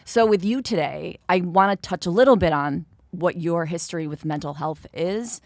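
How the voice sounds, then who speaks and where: A woman's voice: fairly low pitch, moderate pace, darkish tone, no echo, clean studio sound. Wry woman comic in her thirties. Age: 30s. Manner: wry, self-deprecating comic delivery. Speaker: podcast host. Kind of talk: casual podcast chat.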